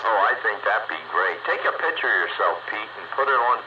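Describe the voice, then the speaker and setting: A folksy American man with a lowish, animated voice chats casually over two-way radio. He sounds like the host of the channel, coaxing and informal. The signal is dull, with clear static behind him. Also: fairly quick. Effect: two-way radio transmission. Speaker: net host on a CB radio round-up. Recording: dull, noisy CB radio transmission. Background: loud radio static.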